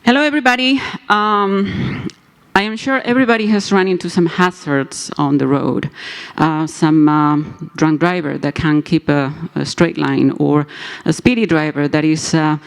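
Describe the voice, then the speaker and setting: Smooth-voiced presenter, hesitant. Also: mid-range voice, steady tone, normal speed. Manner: hesitant, many fillers. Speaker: hackathon team presenter. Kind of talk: pitch presentation.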